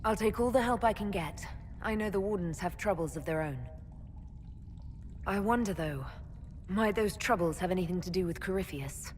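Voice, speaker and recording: A woman voicing a calm, diplomatic leader in a video game, composed and reasonable. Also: medium pitch, normal speed, natural voice. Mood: inquiring. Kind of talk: video game dialogue acting. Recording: long reverb, faint background noise.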